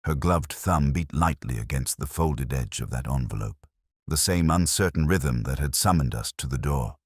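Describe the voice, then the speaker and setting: A man's voice: rumbling low, lively intonation, normal speed, no room sound, studio quality. A man reading a scripted literary mystery story as its first-person narrator.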